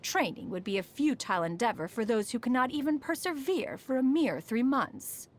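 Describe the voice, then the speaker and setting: A woman's voice: on the high side, sing-song, normal speed. A woman playing a proud, refined female immortal in a video game, speaking in a formal, stately manner, aloof yet fond beneath it. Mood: haughty, bemused. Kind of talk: scripted video game character monologue.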